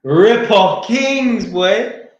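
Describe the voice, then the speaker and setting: A man's voice: high-pitched, lively intonation, drawn-out words, dull audio. Blunt man in a very echoey room. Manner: blunt, swears freely, slangy and joking. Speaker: YouTuber answering viewer questions. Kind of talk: casual Q&A, reading out questions.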